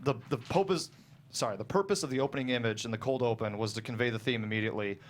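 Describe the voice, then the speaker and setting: Man, medium pitch, guest writer, casual interview, hesitant, rambling, prone to chuckling. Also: quick pace. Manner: hesitant, rambling, often chuckles mid-sentence. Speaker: guest screenwriter. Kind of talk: casual interview discussion of own writing.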